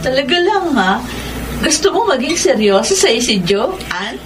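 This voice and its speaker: An actress playing a doubting, teasing young friend in a Filipino radio drama, with a Manila Tagalog accent. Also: female voice, high voice, very animated, moderate pace, loud music behind the voice. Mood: skeptical, teasing. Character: skeptical, teasing young female close friend. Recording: noisy ambience behind the voice.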